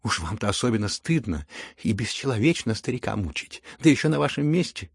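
A man's voice: lowish voice, sing-song, fairly quick, gravelly, slightly rough, no echo, clean studio sound, heavily acted. A man voicing a distressed middle-aged mother in an audiobook, pleading and scolding with reproach. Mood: reproachful, alarmed. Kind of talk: dramatized audiobook reading.

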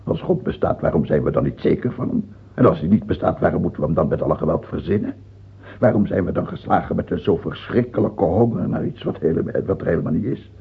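A man's voice: low-pitched, fast talker, creaky. An actor the playing an ailing, fearful and tyrannical king of France in a radio drama, volatile, moving between brooding murmurs and barked scorn. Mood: anguished, brooding. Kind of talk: theatrical radio drama acting. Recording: dull, lo-fi old radio recording.

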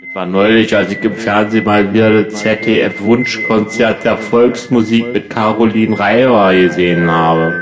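A man's voice: on the low side, fairly even intonation, normal speed, few pauses, lightly acted. Earnest slacker band guy played by a man, music behind. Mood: earnest, deadpan. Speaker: radio play band member.

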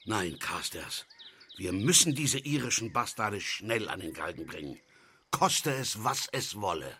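Irascible American tycoon, low pitch, raspy, gruff, imperious, radio drama. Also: male voice, big pitch swings, unhurried, sharp tone, exaggerated voice. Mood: angry. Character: irascible, domineering rich American businessman. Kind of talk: German audio drama acting.

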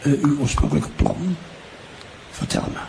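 Creaky, mischievous old father. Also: a little high, steady tone, moderate pace, very noisy. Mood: hesitant, curious. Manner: hesitant, repetitive, rambling. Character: mischievous, rambling elderly father who loves pestering officials. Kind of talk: improvised radio drama acting.

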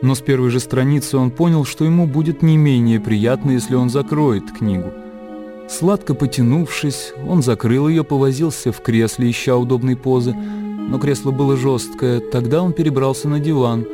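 A man narrating a literary radio drama in a measured storytelling manner. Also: medium pitch, music in the background.

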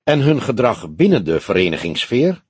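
A man voicing a calm, courteous old police detective in an audiobook, questioning formally and probingly. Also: mid-range voice, moderate pace, husky, no room sound. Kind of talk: audiobook character dialogue.

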